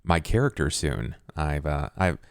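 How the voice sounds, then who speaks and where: A man's voice: a bass voice, sing-song, a little slow, clear, resonant tone. A man chatting casually as a podcast player, repeating words for emphasis. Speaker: podcast cast member, player. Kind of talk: casual chat.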